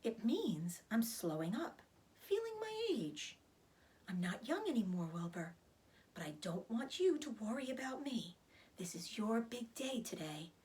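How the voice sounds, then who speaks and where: A woman voicing a weary, gentle, aging female spider from a children's storybook, speaking in a weak, soft half-whisper with quiet pride. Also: on the high side, big pitch swings. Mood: sad, tired. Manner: measured, subdued. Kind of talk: children's storybook read-aloud.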